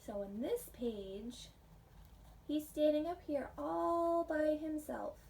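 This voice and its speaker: A woman preschool teacher reading a picture book aloud in a gentle, simple teacherly way, pausing to put questions to young listeners. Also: sits high, vocal fry. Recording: distant microphone, faint background noise.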